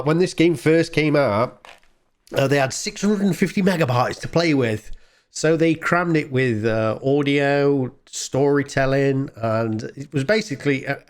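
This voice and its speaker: A man hosting a retro gaming video, talking casually and informally off the cuff in a rambling, chatty way. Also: medium pitch. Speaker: host of a retro gaming channel. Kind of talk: casual off-the-cuff gameplay commentary.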